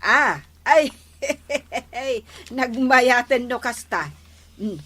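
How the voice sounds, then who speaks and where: Slow-talking, easygoing village wife. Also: female voice, a little high, a bit bright, full character voice. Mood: agreeable. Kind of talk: radio comedy drama acting.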